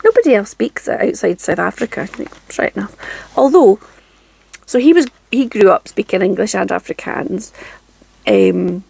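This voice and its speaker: A Scottish woman in her forties talks casually to camera from her home craft room. She is chatty and self-deprecating, with frequent ums and soft laughs. Her mid-pitched voice carries a gravelly, creaky edge. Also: lively intonation, moderate pace, dry recording. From Scotland. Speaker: craft channel host.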